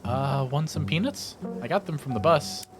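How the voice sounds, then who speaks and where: A man's voice: on the high side, lively intonation, normal speed, over music, pitched above the performer's own voice, close to an everyday voice. A man voicing an awkward, sympathetic visual novel protagonist in a hesitant, earnest way. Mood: awkward concern. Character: awkward, sympathetic newcomer cousin, game protagonist.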